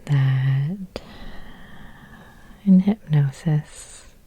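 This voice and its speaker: A woman whispering a guided ASMR hypnosis in a breathy, gentle, soothing way, close to the microphone with long pauses. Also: low-pitched.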